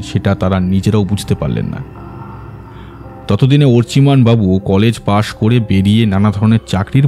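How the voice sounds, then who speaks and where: A man playing a composed, confident detective who explains a case in a measured way in an audio drama. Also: lowish voice, close to an everyday voice. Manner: measured, expository. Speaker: detective character.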